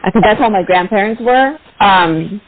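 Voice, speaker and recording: A woman from the Philippines, a native Tagalog speaker, chatting casually as an interview guest in an informal, halting way. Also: medium pitch, expressive, no echo. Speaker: interview guest, ring announcer. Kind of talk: casual conversational storytelling. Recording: dry, tinny phone-like line.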